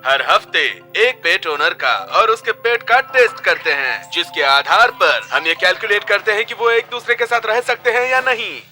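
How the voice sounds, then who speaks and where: Bright, high-pitched cartoon game show host. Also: male voice, animated, normal speed, few pauses, soft background music, heavily acted. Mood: salesy. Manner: showy announcer patter. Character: slick, over-eager male TV game show host. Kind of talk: dubbed children's cartoon acting.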